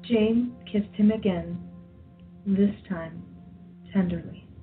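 A woman narrating a scripted radio play, reading scene headings and stage directions like a measured storyteller. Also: medium pitch, narrow, tinny audio, faint music behind.

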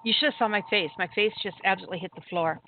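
A chatty woman tells a story over a call-in line, her voice thin and phone-like with a faint hiss behind it. She talks in an animated, casual way and laughs easily. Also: mid-range voice, rapid-fire. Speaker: caller sharing a story.

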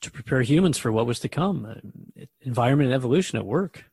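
A man's voice: mid-range voice, lively intonation, fast talker, vocal fry, darkish tone, breathy edge, dry recording. A man hosting a radio drama dialogue, asking questions and musing aloud in a hesitant, conversational way. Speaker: radio host in a scripted dialogue.

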